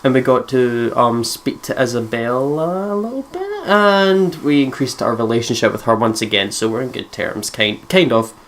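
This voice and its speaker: A woman's voice: extremely low pitch, animated, moderate pace, hardly any pauses. A Scottish woman hosting a let's play who chats casually, swears freely and reads game text aloud. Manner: chatty, swears freely, laughs often. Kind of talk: casual gameplay commentary and reading aloud.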